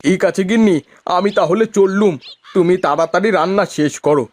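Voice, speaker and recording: A cheerful village husband and farmer, voiced by a man for a cartoon. His voice sits a little high and he talks politely. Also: brisk, a little mellow, no room sound, lightly acted. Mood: cheerful, eager. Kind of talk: Bengali cartoon dialogue.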